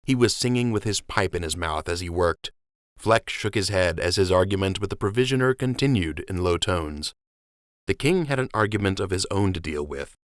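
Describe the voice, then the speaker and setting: A man narrating an audiobook with expressive storytelling on a close studio mic. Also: sits low, moderate pace, dry recording.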